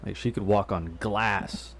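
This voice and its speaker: A man's voice: lowish voice, steady tone, a little slow, a bit bright, breathy edge. A man co-hosting a live painting stream, reading viewer questions and chatting in a teasing way, laughing easily.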